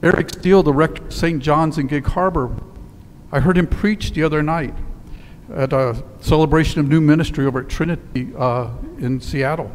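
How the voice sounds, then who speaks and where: An older male priest preaching from the front of a church, his slightly high voice carrying through a long reverb. He tells stories plainly and sinks to quiet, slowed emphasis, with a faint hum of the room behind him. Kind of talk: sermon. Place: church sanctuary in front of altar. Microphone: headset mic.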